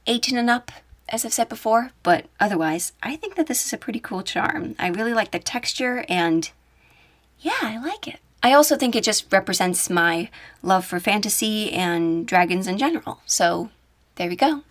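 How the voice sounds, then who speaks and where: A woman with a mid-pitched voice gives a casual show-and-tell. She is chatty and enthusiastic, talking like a video creator walking viewers through a personal collection. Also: animated, moderate pace. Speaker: YouTube audio creator showing her collection.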